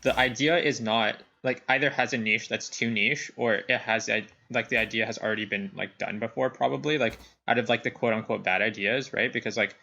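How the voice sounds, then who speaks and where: A young man co-hosting a casual chat podcast, talking in halting restarts full of "like" fillers. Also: on the low side, fairly even intonation, gravelly, sharp tone, dull audio. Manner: hesitant, many restarts and "like" fillers. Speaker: podcast co-host.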